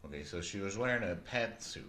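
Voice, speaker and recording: A man from Canada co-hosting a casual podcast, telling stories with lots of swearing and loud laughs. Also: sits low, quick pace. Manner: animated storyteller, swears a lot, laughs loudly. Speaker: podcast co-host. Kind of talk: casual chat. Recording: echoey room with faint ambient noise.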